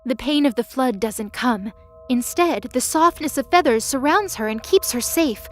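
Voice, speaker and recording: A woman's voice: sits high, lively intonation, normal speed, soft background music. A woman reading scripted dramatic story narration as the narrator of a fantasy audio drama.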